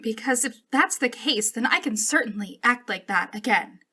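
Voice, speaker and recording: A woman's voice: fairly high pitch, animated, sharp tone, husky, exaggerated voice. A woman playing an arrogant, jealous young princess in a dramatic ASMR roleplay, imperious but wounded and emotional. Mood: furious. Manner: imperious, emotional, halting when hurt. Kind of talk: ASMR roleplay, dramatic one-sided dialogue.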